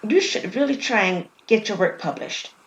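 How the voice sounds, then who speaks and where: A gentle, reserved young man in an audio drama, shy in his courting, played by a woman storyteller. The recording has faint noise behind him. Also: medium pitch, lively intonation, moderate pace, creaky, rough recording. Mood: warm, tentative.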